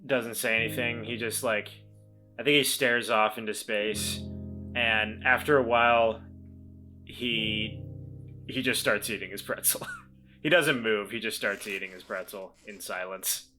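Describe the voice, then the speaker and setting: Guy playing a tabletop game, bright tense voice, stops and restarts a lot, faint music under it. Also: on the low side, steady tone, low-quality recording. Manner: hesitant, halting with repeated restarts. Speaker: player. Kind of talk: casual tabletop roleplay.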